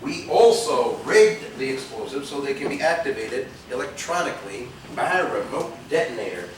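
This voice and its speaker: A theatrical, hot-headed gang rebel performed by a man in a live stage play. The voice is medium in pitch, heard from a distance in a very reverberant theater. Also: very animated, normal speed, natural voice. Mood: solemn reading. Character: hot-headed male rebel gang member, itching to attack. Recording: distant, echoey theater videotape with noise.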